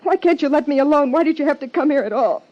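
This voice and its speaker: A woman's voice: high-pitched, lively intonation, quick pace. An American woman playing a bitter, guarded wife of a missing man in 1950s radio drama, speaking formally and evasively. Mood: bitter, defiant. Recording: muffled 1950s radio broadcast recording.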